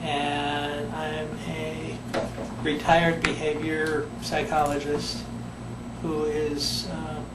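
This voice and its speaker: An older man, a retired psychologist, answering interview questions slowly and thoughtfully, pausing often. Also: fairly high pitch, completely flat delivery, over loud music. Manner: slow, thoughtful, with many pauses and fillers. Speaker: retired behavior psychologist, volunteer. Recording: echoey room, mic at a distance, background noise.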